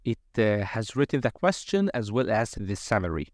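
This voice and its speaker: A man presenting a step-by-step software tutorial in a semi-improvised narration over a screen recording. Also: fairly low pitch, very animated, normal speed, no echo, clean studio sound.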